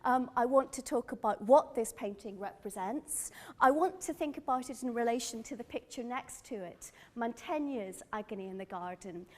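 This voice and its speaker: Middle-aged Northern Irish woman, slightly high voice, curator giving a lively personal gallery talk. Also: moderate pace. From Northern Ireland. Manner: earnest, enthusiastic, personal. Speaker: museum curator. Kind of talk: gallery lecture. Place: gallery or lecture room.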